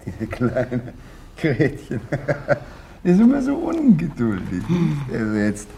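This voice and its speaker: Fawning sinister henchman: highish, animated, drawn-out delivery, gushing, radio drama. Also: gravelly, a little hiss or hum, heavily acted. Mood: amused.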